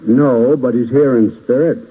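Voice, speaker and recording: A man's voice: mid-range voice, fairly even intonation, normal speed, slightly rough, phone-quality sound, lightly acted. A man playing the patient, slightly exasperated adult humoring a pestering child in 1930s radio comedy, speaking politely. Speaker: radio show host playing comic straight man. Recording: thin, noisy 1930s radio broadcast.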